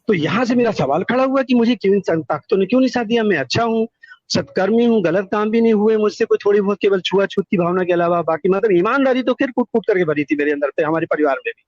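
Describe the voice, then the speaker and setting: A man's voice: sits high, expressive, fast, no echo. A middle-aged man giving personal testimony in conversation over a phone call, earnest and wordy, repeating words for emphasis. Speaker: call-in guest telling their life story. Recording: dull lo-fi call recording.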